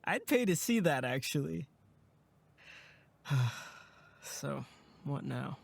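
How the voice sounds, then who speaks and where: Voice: mid-range voice, very animated, takes it slowly, gravelly, no room sound, exaggerated voice. A performer acting as a protective, practical young male camp counselor in an audio drama. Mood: wry.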